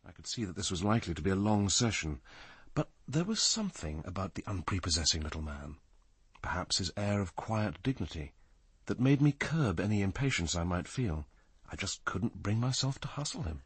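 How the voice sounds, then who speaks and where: An actor playing a reflective detective narrating his case in a radio drama, a man with a husky voice and a measured manner. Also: sits low, big pitch swings, dry recording, exaggerated voice. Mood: wry, reflective.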